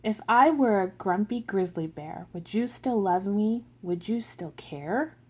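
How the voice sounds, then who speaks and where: Small grumpy child from a picture book, slow and soft, read by a woman. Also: mid-range voice, lively intonation, husky. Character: small grumpy young child creature, worried.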